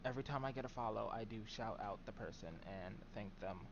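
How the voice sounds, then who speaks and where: Soft-spoken man with a low voice. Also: fast talker, muffled recording, background noise. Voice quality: very soft voice that does not carry. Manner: soft-spoken, mild, trailing off with fillers. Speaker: game streamer. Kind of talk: relaxed live game-stream chatter.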